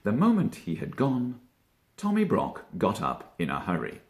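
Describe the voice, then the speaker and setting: Deep, animated man reading a storybook. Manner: expressive, deliberate, drawing out key words. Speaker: storybook reader, stage actor. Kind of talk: theatrical children's story narration.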